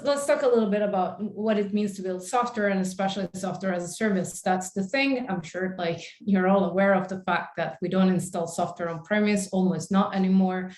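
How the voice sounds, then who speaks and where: A woman's voice: mid-range voice, a little mellow. A woman in her forties from Italy, giving a chatty invited talk over a video call, with many fillers. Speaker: invited speaker. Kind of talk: conference talk with slides. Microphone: video call webcam. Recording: echoey room.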